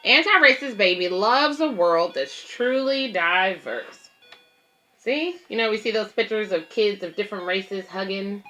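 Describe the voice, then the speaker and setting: Bright-voiced woman reading aloud to children. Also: medium pitch, measured pace, faint music behind, low-quality recording. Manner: explains simply, addressing young children and parents. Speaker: babysitter and children's story reader. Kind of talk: read-aloud picture book with casual asides to viewers.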